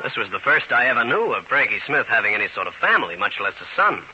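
An actor playing a wisecracking but good-hearted American private eye, narrating and acting in an old-time radio drama. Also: male voice, medium pitch, big pitch swings, fast, dull audio, loud music behind the voice, lightly acted. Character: wisecracking, good-hearted tough New York private detective.

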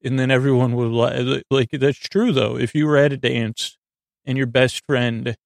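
Creaky-voiced man rambling gently at bedtime. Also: mid-range voice, steady tone, normal speed, dry recording, studio quality. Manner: hesitant, stammering, circling back and trailing off. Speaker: host of a bedtime sleep podcast. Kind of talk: rambling, meandering bedtime monologue.

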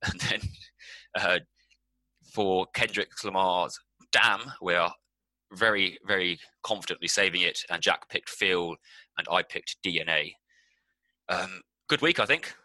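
A man's voice: deep voice, measured pace, pauses often, pushed and bright, no room sound, poor audio. A man co-hosting a casual music podcast, rambling and swearing with lots of ums. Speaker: podcast co-host.

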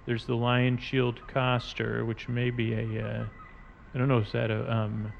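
A man hosting a podcast, talking in a halting, meandering, unscripted way as he describes things in front of him. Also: mid-range voice, completely flat delivery, gravelly, highs cut off, a little hiss or hum. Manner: halting, meandering, spells out odd words. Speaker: podcast host. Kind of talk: rambling, unscripted narration describing game maps and artwork. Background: music bed and sound effects.

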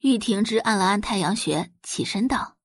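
A woman reading scripted story narration as an expressive audiobook narrator. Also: mid-range voice, normal speed, husky, dry recording, studio quality.

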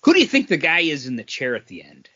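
A man's voice: a little high, huge pitch swings, quick pace, pushed and bright, husky, lo-fi sound. A man co-hosting a podcast, giving his opinions on comic books in casual chat.

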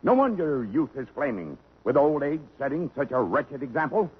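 A stern husky old judge. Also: male voice, on the high side, sing-song, moderate pace, exaggerated voice. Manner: stern, moralizing. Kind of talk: 1950s radio drama acting. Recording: dull old radio broadcast.

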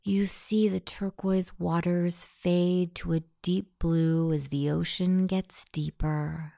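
A woman guiding a scripted hypnosis meditation in a soothing, softly breathy delivery. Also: lowish voice, steady tone, slow pace, dry recording, studio quality.

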